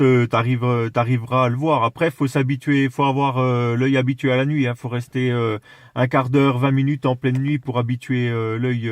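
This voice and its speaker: A man in a casual radio chat, explaining things with enthusiasm while haltingly searching for his words. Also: mid-range voice, no echo. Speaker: amateur radio operator.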